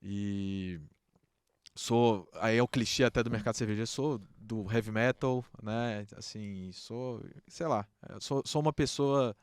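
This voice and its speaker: A man's voice: on the low side, unhurried, clean tone, no room sound, studio quality. A middle-aged Brazilian man with a Brasília accent, talking casually as an interview guest, often adding little check-in tags. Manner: informal, peppered with check-in tags. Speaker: podcast guest, trade association president.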